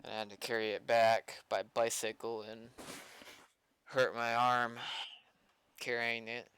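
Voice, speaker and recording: Tired guy muttering and swearing while he streams, really clear voice, kinda slow. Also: medium pitch, level tone, fairly bright tone, dry recording. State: tired, sighing. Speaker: streamer developing a game roleplay server. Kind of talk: casual unscripted stream talk while working.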